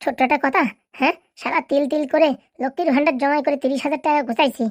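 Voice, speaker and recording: A woman's voice: high-pitched, fairly even intonation, racing, no echo, clean studio sound, lightly acted. A woman voicing an angry, nagging wife and mother in a comedy cartoon, scolding and threatening. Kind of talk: Bengali comedy cartoon dialogue.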